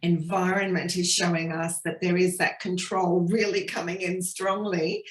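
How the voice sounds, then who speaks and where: A woman from Sri Lanka, a spiritual healer, talking gently and earnestly in a casual interview over a video call. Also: lowish voice, level tone, normal speed, echoey room. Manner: gentle, earnest, flowing, with soft restarts.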